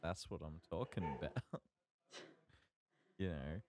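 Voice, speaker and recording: A man co-hosting a podcast, chatting casually about media in a halting, emphatic, sweary way. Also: low-pitched, expressive, very noisy. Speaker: podcast co-host.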